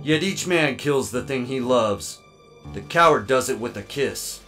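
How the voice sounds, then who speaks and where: A man's voice: mid-range voice, animated, measured pace, over music, natural voice. A man playing a cold, taunting, vengeful android in an audio drama. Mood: accusing. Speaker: voice actor.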